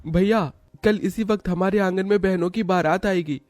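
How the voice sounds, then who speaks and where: A man's voice: high voice, moderate pace, darkish tone, dry recording, clean studio sound, close to an everyday voice. A man voicing two poor, devoted older brothers in a cartoon, speaking in an earnest, emotional way. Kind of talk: cartoon voice acting.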